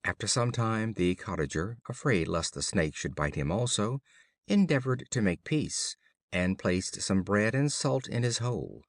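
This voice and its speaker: A man reading children's fables as an audiobook narrator, in a measured, formal storybook style. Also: lowish voice, expressive, dry recording, studio quality.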